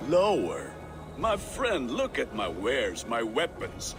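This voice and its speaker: An actor playing a lovelorn, proud Norse blacksmith in a video game, melodramatic and boastful in manner. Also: male voice, mid-range voice, huge pitch swings, takes it slowly, over music, exaggerated voice. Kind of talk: video game character acting.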